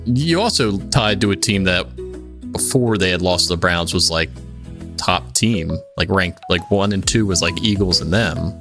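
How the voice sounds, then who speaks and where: A man's voice: sits low, lively intonation, normal speed, over music. A man hosting a podcast with casual, crude joking banter. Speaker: podcast host.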